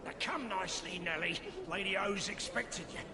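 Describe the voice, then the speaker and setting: High-voiced male street thug. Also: normal speed, heavy background noise. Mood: coaxing menace. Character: bossy Victorian street thug guard.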